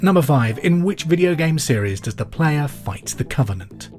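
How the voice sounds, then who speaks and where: A man's voice: a little high, animated, moderate pace, a little mellow, soft background music. A man with a British accent hosting a pub quiz podcast in an informal, chatty way with jokey asides.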